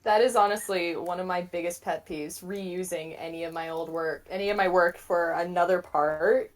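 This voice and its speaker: A woman's voice: on the low side, steady tone, moderate pace. A woman chatting casually as a guest in a group reaction. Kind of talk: casual chat.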